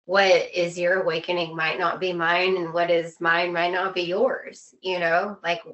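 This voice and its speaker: A woman's voice: on the low side, flat delivery, measured pace, a bit bright, muffled recording. A woman in casual conversation as a podcast guest, reflective and often rephrasing her points.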